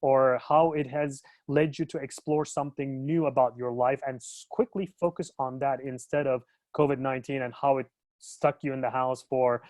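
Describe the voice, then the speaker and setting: A man's voice: mid-range voice, steady tone, moderate pace, a little mellow, dry recording. Turkish-born man in a dry webinar. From Turkey. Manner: conversational, with many fillers and restarts. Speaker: educational consultant. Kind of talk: webinar discussion.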